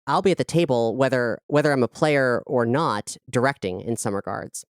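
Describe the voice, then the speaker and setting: Woman, low register, clean crisp tone, dry close sound, talking conversationally as a creative director being interviewed. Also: normal speed, no echo. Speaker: audio drama creator and director, interview guest.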